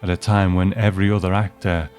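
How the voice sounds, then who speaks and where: A man's voice: low voice, flat delivery, fast, a little mellow, faint music behind. A man hosting a review, narrating from a script. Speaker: host.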